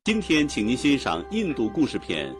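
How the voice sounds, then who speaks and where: A man's voice: medium pitch, huge pitch swings, normal speed. A man speaking as a formal radio announcer, introducing a programme on an archival broadcast. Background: music.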